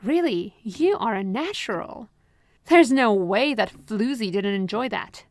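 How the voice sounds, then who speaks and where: A woman voicing a manipulative, possessive female therapist who talks in a honeyed, calmly controlling way, in an ASMR roleplay recorded close to the mic. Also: a little high, unhurried, dry recording. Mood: smooth, controlling. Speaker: voice actress.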